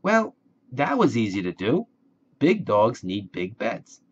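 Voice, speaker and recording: A man reading a children's picture book aloud to young listeners, phrasing each simple sentence deliberately. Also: mid-range voice, huge pitch swings, slightly rough. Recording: dull lo-fi audio.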